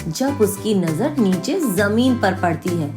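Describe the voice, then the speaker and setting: A woman narrates a children's story in a mid-pitched voice. Her intonation moves up and down expressively. Music is clearly audible behind her. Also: animated, takes it slowly.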